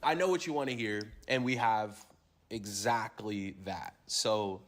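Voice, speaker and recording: A man's voice: fairly low pitch, measured pace, a bit bright. A man hosting a show, explaining things in a conversational way. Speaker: show host. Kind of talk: presenting.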